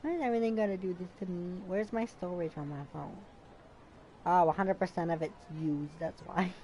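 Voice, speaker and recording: A woman's voice: fairly low pitch, a little fast, mellow, a little hiss or hum, poor audio. A woman streaming a game with casual, informal commentary, chattily reacting and talking back to the characters.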